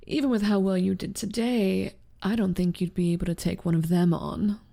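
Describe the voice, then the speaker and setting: Breathy, creaky, teasing succubus rival, played by a woman. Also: medium pitch, steady tone, normal speed, warm tone. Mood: knowing, teasing. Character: teasing young female succubus classmate, playful rival. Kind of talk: ASMR roleplay acting.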